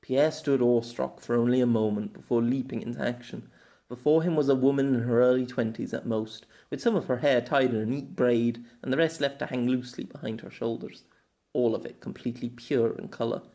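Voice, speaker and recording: A man narrating a story as an amateur audiobook reader, theatrical, wry and expressive. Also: medium pitch.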